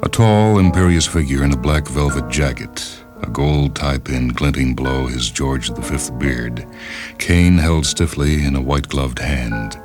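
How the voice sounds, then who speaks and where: A man reading a literary short story as a radio host, in a measured, deliberate and formal manner, on a 1970s radio recording. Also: very deep voice. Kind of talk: scripted literary narration. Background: music bed behind the reading.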